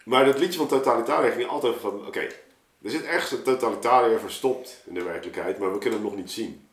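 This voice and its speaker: A man talking casually and reflectively, halting as he searches for words. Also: medium pitch. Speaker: musician in a podcast discussion. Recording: roomy, echoing sound.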